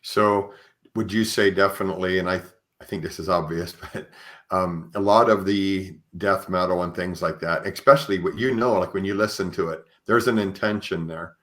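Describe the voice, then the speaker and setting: Deep-voiced man interviewing tentatively. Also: steady tone, normal speed, fairly bright tone. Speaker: podcast host. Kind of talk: conversational interviewing.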